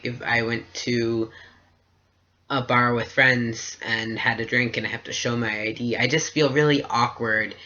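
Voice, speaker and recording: Slow, flat-voiced young man, bright tone. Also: mid-range voice. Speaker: vlogger. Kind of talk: personal video diary. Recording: dull lo-fi recording.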